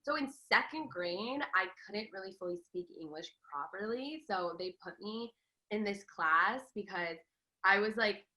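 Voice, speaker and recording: Bright-voiced young woman chatting casually. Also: medium pitch, animated, normal speed, poor audio. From Los Angeles. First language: Farsi. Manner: chatty, laughs often, many fillers and restarts. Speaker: podcast guest. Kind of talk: casual chat.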